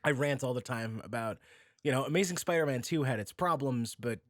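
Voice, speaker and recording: A man's voice: medium pitch, brisk, a bit bright, dry recording, clean studio sound. A man hosting a podcast, chatting casually with sarcastic, exaggerated banter. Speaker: podcast host. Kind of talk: casual joking podcast chat.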